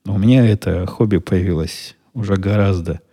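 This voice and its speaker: A middle-aged man hosting a solo podcast, answering listener questions in a dry, wry, unhurried way. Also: sits low, warm tone, husky. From Russian-speaking country. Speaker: podcast host.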